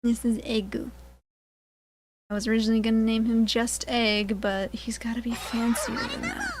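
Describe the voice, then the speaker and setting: A woman's voice: fairly high pitch, very animated, moderate pace. A woman hosting a gaming video, giving casual let's play commentary with playful, dry joking asides.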